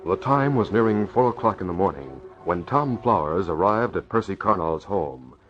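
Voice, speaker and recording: A deep-voiced man delivers dramatic narration for an old radio serial. His pitch moves with plenty of swing. The vintage recording is muffled. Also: animated, normal speed, over music.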